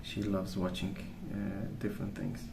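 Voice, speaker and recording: A man speaking softly in a calm, gentle, unhurried way, rambling in a casual ASMR storytelling style. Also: sits low. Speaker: ASMR video creator. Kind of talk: soft-spoken ASMR rambling. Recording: roomy sound with clear background noise.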